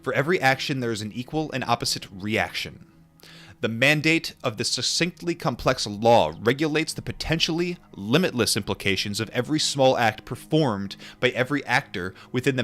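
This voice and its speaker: A man reading a book aloud and adding informal asides, in a measured, deliberate manner. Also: medium pitch, expressive, fairly bright tone. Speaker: audiobook reader and commentator.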